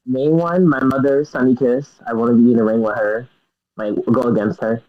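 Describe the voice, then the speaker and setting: A man's voice: medium pitch, steady tone, normal speed. A young man speaking as a guest in a casual interview, hesitant and giggly, leaning on lots of fillers. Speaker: guest wrestler. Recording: dull lo-fi sound.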